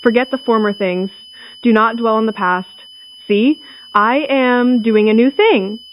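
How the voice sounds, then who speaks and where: A woman playing a government representative reading a formal, solemn final emergency broadcast in a deliberate way. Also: a little high, lightly acted. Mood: solemn farewell. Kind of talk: scripted emergency broadcast announcement. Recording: noisy, humming radio-like recording.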